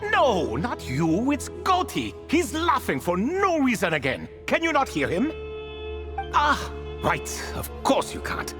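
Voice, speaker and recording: A man's voice: very high voice, huge pitch swings, measured pace, over music, full character voice. A man voicing a proud, ancient ibis king in a video game, telling stories in a formal, nostalgic, theatrical way. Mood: puzzled, rambling. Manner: theatrical, rambling, formal storytelling. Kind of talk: video game character acting.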